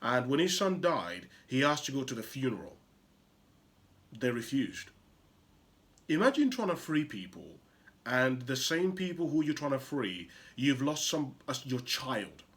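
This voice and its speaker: Animated motivational speaker, man from Uganda. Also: medium pitch, a little slow. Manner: emphatic, rhetorical questions, swings from loud to hushed. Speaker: motivational show host. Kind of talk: impassioned motivational monologue.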